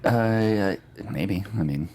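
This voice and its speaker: A man hosting a relaxed quiz on a podcast, joking and rambling with lots of filler words. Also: deep voice, slow. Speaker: host running a movie title quiz. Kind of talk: casual podcast banter.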